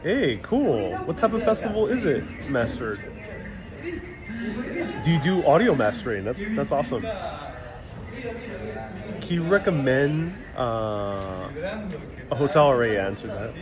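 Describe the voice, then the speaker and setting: A man's voice: medium pitch, sing-song, measured pace, long pauses, over music. A man from New York City hosting a casual live travel Q&A, reading viewer comments aloud and answering in an easygoing, joking way. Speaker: travel livestream host. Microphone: phone livestream mic. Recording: crowd noise around a phone stream. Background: crowd chatter.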